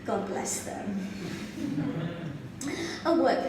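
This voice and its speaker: A woman's voice: mid-range voice, lively intonation, drawn-out words, noisy background. A woman from Zambia doing a stand-up comedy set in a club, playful and giggling at her own jokes. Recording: echoey club sound from far off.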